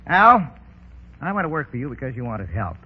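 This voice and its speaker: A dull old radio broadcast carries a cocky, commanding racketeer. His husky voice swings widely as he rattles off sardonic gangland slang with wry confidence. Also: mid-range voice, big pitch swings, fairly quick. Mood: forceful. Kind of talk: 1930s radio drama acting.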